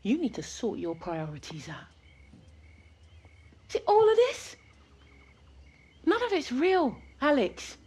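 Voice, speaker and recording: A stern, exasperated mother in a cinematic game drama. Her middle-aged woman's voice sits high and moves widely in pitch, full of reproach and scolding. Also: wildly swooping pitch, slow pace, pauses often, darkish tone, exaggerated voice. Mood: reproachful. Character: exasperated, stern mother of a young football star. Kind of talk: naturalistic video game cutscene acting.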